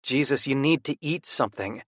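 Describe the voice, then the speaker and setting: A man's voice: mid-range voice, fairly quick, no echo, studio quality, natural voice. A man voicing a worried, protective younger brother, urgent and pleading, swearing when upset.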